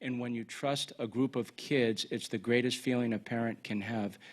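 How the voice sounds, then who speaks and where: A man speaking as a sports coach in unscripted remarks, measured and earnest, in short repeated phrases. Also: medium pitch, fairly even intonation. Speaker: college baseball head coach.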